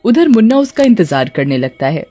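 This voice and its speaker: A woman's voice: medium pitch, big pitch swings, a little fast, mellow, faint music behind. Woman narrating a cartoon story.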